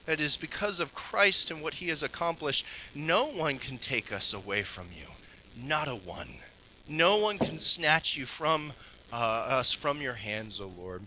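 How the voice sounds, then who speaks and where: A man pastor giving an earnest sermon that ends in prayer, swinging between strong, raised passages and low, soft ones. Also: expressive, a little slow, slight background noise, low-quality recording.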